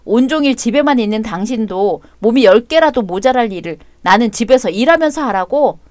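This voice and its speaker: Woman playing a cool sharp-tongued mom, high voice, blunt and mocking. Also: expressive, fairly quick, no echo, studio quality, close to an everyday voice.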